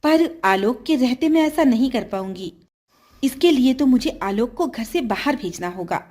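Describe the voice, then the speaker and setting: A woman's voice: fairly high pitch, expressive, moderate pace, darkish tone. A woman playing a greedy, scheming stepmother in a children's story, with a coaxing, eager and calculating manner.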